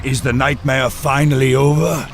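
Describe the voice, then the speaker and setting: An actor playing a defiant, weary human military commander in a fantasy game, gruff and challenging. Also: male voice, mid-range voice, steady tone, moderate pace, natural voice. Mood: wary distrust. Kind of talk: fantasy game cutscene acting. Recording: music under the voice.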